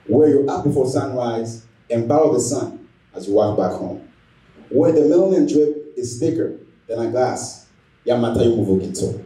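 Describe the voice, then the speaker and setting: Very mellow, dark-voiced young male poet. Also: medium pitch. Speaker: spoken word poet. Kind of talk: spoken word poetry performance. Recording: echoey room, distant microphone.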